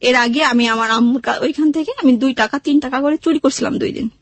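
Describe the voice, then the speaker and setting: A woman's voice: sits high, fast talker, no echo. A woman with a Bangladeshi accent telling her life story emotionally, in a plaintive way, acting out the dialogue she remembers. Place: radio studio.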